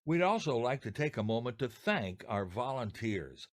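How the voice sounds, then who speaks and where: An American man speaks at an average pitch with lots of melodic movement. He co-hosts in scripted, friendly banter, introducing each piece. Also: big pitch swings, normal speed. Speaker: podcast co-host.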